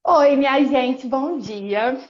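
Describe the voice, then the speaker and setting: High-voiced Brazilian woman, earnest and reflective. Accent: Brazilian Portuguese, Espírito Santo. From Espírito Santo, Brazil. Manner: earnest, reflective, many pauses and restarts. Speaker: guest. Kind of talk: casual chat on a live stream. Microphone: phone or laptop on a video call. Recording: roomy, dull live-stream audio.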